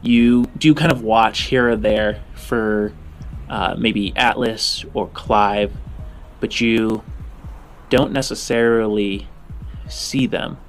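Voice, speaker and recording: A man improvising narration as game master of a tabletop game, in a halting, informal, descriptive way. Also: on the low side, steady tone, soft background music.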